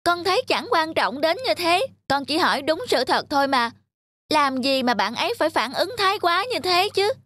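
A woman's voice: sits high, expressive, moderate pace, fairly bright tone, no echo. A woman voicing an outspoken, naive little village schoolboy in cartoon dialogue. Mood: defensive. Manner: blunt, argumentative.

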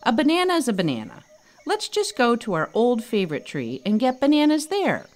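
Storybook elephant, young male, voiced by a woman: responsible, cautioning, earnest, highly expressive pitch. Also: a little fast. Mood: firm refusal. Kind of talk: storybook character voice.

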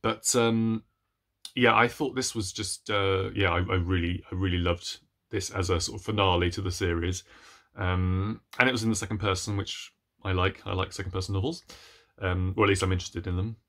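Young adult male, lowish voice, casual hesitant book-vlog chat, self-deprecating, recorded in a room at home. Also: fairly even intonation, normal speed, a bit bright. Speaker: book reviewer vlogger. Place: small room at home, indoors.

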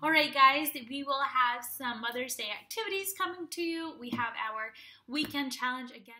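High, bright-voiced young woman reading to children. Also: normal speed. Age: young adult. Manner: sing-song, addressing young children. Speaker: teacher reading aloud to children. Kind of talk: expressive children's picture book read-aloud.

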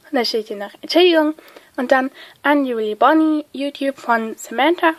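A woman with a cold and a stuffy nose, chatting excitedly with lots of fillers as she opens and reads letters at a table. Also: high voice, normal speed. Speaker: vlogger opening a card-swap letter. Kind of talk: casual unboxing chat, reading letters aloud. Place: indoors at a table, hands-only overhead view.

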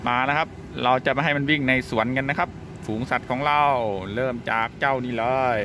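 A man hosting a casual toy video, narrating slowly and exclaiming in wonder at the toys. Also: medium pitch, heavy background noise. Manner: slow, chatty, full of wowed exclamations. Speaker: toy channel host. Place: rooftop outdoors at night.

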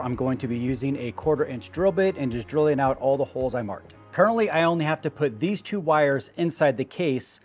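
A middle-aged man talks through a do-it-yourself build in a relaxed, explanatory way, clearly keen on the gear. His voice sits at an ordinary middle pitch, picked up close on a lapel mic in a wooden workshop. Soft music plays faintly underneath. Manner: casual, explanatory, enthusiastic about gear. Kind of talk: product build walkthrough. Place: open wooden workshop, indoors.

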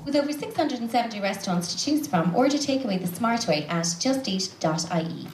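A woman with a Cork accent, a contestant talking briskly and practically and rehearsing a voiceover line. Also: a little high, lively intonation, a little hiss or hum, rough recording. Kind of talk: reality show talk in an edit suite. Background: music playing.

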